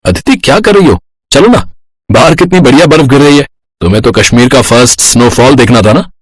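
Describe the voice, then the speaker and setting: Young husband, cartoon story, easygoing, teasing, husky, mid pitch. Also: male voice, animated, brisk, no echo. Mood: cheerful, teasing.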